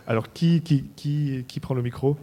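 Male festival interviewer, mellow tone, big reverberant hall, faint background crowd. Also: mid-range voice, moderate pace, slight background noise.

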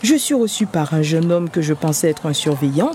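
A middle-aged woman, a researcher, giving a measured, scripted podcast narration. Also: low-pitched, lively intonation, a little mellow, slight background noise. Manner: measured, storytelling delivery. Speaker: researcher and lecturer.

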